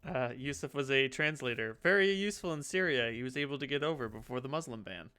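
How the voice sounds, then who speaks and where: A man's voice: mid-range voice, lively intonation, fast talker, a crowd in the background. A man in casual chat as game master, teasing his players dryly over an online voice call. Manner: dry, teasing.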